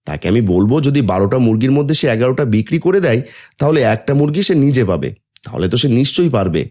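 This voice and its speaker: A man's voice: medium pitch, very animated, fast talker, darkish tone. A man voicing a practical village farmer in a cartoon, who asks a poor neighbour for help.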